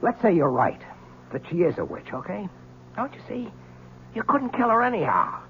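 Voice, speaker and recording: An earnest male reporter in an old radio drama. He is urgent and anxious, his pitch leaping widely as he pleads in broken phrases. The recording is slightly dull with faint hiss. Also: wildly swooping pitch, moderate pace, fairly bright tone, heavily acted. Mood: tense pleading. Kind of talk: 1970s radio drama acting.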